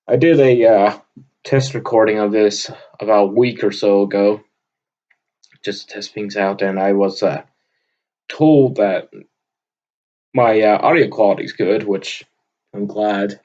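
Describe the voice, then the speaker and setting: A young man from Vermont with a loud, booming voice, talking off the cuff in an unscripted audio log, rambling with lots of filler words. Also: steady tone, a little slow, long pauses, muffled recording. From Vermont, USA. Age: young adult. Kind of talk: unscripted casual monologue. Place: at his desk, indoors. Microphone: computer microphone on a desk.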